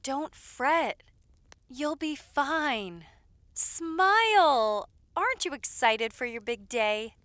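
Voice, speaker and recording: A caring young lady's maid played by a woman talks in a high, bright voice. She is loyal and attentive, fussing over her charge with familiar, gentle scolding. It is audio roleplay acting, recorded dry. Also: very animated, very slow, dry recording, heavily acted. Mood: reassuring.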